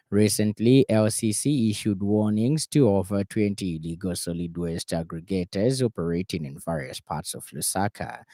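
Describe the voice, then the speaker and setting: A man with a Zambian accent reading a scripted radio news bulletin in a formal, measured manner. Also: lowish voice, warm tone, no room sound, clean studio sound. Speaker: news reader. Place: radio studio.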